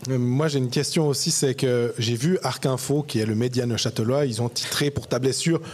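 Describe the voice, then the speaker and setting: A man's voice: medium pitch, fairly even intonation, brisk, a little mellow. A man, a podcast host and sports journalist, asking chatty, circling questions with many restarts in a casual live interview in a bar. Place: bar, live audience event.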